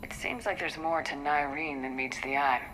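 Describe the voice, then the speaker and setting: An actress playing a tough, pragmatic military commander in a video game, terse, direct and dry. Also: female voice, sits low, normal speed, fairly bright tone, slight background noise, low-quality recording. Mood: dry, probing.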